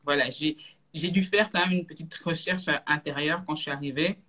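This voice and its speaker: A woman in her thirties from Belgium, a guest on a live video chat, talking casually with many pauses and restarts. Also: sits low, fairly bright tone. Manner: hesitant, many fillers and restarts. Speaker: guest, entrepreneur. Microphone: video call.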